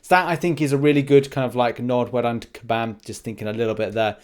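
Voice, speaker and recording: A man's voice: mid-range voice, fairly even intonation, fast. A man hosting a gaming video, talking through game news in a casual, unscripted way, rambling and thinking aloud in short asides.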